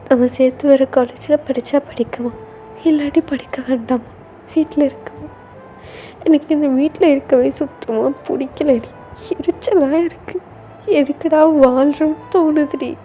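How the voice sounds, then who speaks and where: A woman's voice: high voice, mellow. A woman voicing a despairing young woman who pleads tearfully to be taken away from home.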